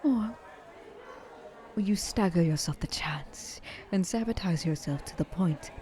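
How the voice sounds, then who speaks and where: Woman playing a mellow, flirtatious ancient vampire lady. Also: lowish voice, unhurried, natural voice. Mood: seductive, challenging. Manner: breathy asides, lapses into whispering, formal genteel phrasing. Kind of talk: ASMR roleplay, intimate monologue to listener.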